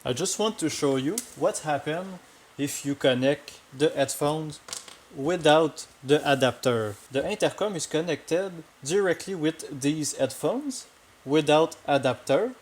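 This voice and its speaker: A man with a French accent speaking halting non-native English, casually demonstrating gear as a vlogger. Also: on the high side, animated. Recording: clearly noisy amateur recording with clicks.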